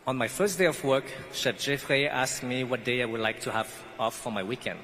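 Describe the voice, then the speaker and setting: A man's voice: mid-range voice, fairly quick, a bit bright, a little hiss or hum. Man speaking in an echoey hall. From French Guiana. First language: not English. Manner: earnest storytelling. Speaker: commencement speaker. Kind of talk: prepared speech. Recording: echoey hall, faint ambience.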